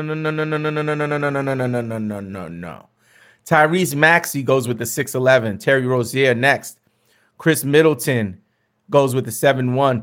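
A young man, slightly hoarse, chatting casually with viewers as he hosts a fantasy basketball mock draft, often repeating himself. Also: medium pitch, a bit bright.

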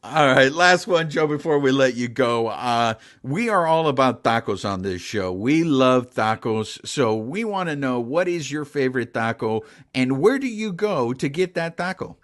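A man's voice: mid-range voice, animated, moderate pace, hardly any pauses, fairly bright tone. A man hosting a podcast, asking a guest casual questions in an upbeat, friendly way. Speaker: podcast host.

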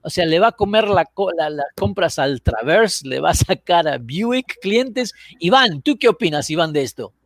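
Man, host, animated, interrupts guests, higher voice, dry sound. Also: normal speed, few pauses, no room sound. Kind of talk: casual talk show chat.

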